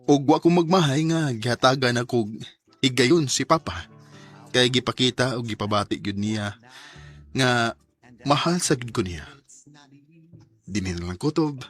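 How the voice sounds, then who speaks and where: Actor playing an earnest, forgiving young son. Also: male voice, mid-range voice, unhurried, pauses often, faint music behind, natural voice. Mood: reflective. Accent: Cebuano. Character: hurt but forgiving young grown son. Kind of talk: radio drama acting and first-person narration.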